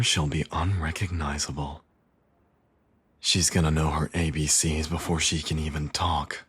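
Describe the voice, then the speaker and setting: A man's voice: rumbling low, slightly rough, exaggerated voice. A man playing a smug, teasing supervillain and doting father in an intimate ASMR audio roleplay, coaxing and sardonic. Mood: playful, mischievous.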